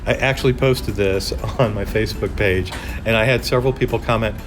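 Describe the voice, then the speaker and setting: A man's voice: fairly low pitch, brisk, over music. A man working as a roving podcast interviewer, talking casually at a conference venue. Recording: noisy ambience of a busy venue. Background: conference ambience.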